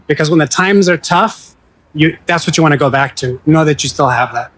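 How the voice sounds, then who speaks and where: A man from Pakistan who grew up in Africa, an actor and filmmaker answering interview questions outdoors at a festival in a thoughtful, measured way. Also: a little high, a bit bright. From Pakistan, raised in Southern and Eastern Africa.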